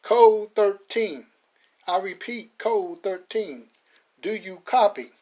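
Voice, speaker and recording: A man voicing a stern male sheriff who barks slow, drawn-out orders. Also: high voice, animated, warm tone, voice pushed up, full character voice. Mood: commanding.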